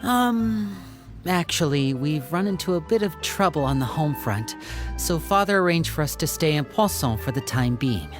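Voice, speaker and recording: A woman's voice: low voice, lively intonation, moderate pace. A woman voicing a polite, charming young male stage magician in a video game, courteous and a little theatrical. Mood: hesitant. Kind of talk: video game character dialogue. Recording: faint music behind the voice.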